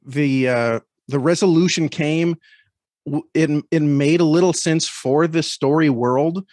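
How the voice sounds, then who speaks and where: A man's voice: on the high side, unhurried, darkish tone, dry recording, studio quality. A man speaking as a podcast guest over a video call, casually and hesitantly, choosing his words with care. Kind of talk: casual discussion of storytelling.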